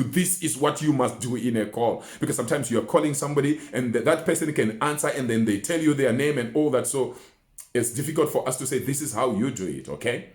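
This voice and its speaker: Animated man teaching, echoey room. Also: mid-range voice. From Botswana. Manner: emphatic, didactic, uses rhetorical questions and repetition. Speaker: research mentor and communication coach. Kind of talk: instructional talk with numbered tips. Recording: roomy, echoing indoor sound.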